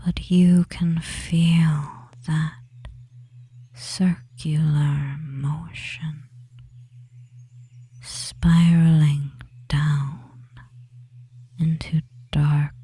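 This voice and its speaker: Casting: performer as dominant femboy witch for hypnosis ASMR. Husky whisper, extremely slow, even delivery, soothing and possessive. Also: medium pitch, slight background noise. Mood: hypnotic, possessive. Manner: very slow, hushed, hypnotic, with long pauses.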